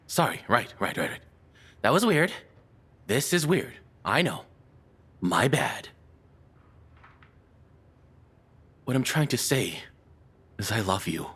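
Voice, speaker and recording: A man playing a nervous, lovestruck kidnapper in an audio roleplay, apologetic and obsessive, speaking in halting, rambling stammers. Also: mid-range voice, big pitch swings, fairly bright tone, husky, exaggerated voice. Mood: embarrassed. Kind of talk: intimate dramatic audio roleplay monologue.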